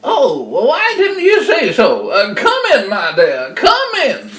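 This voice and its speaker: A woman's voice: on the high side, wildly swooping pitch, takes it slowly, a bit bright, reverberant, pitched above the performer's own voice, full character voice. A woman voicing a storybook wolf who poses as a doting grandma, hesitant and falsely sweet.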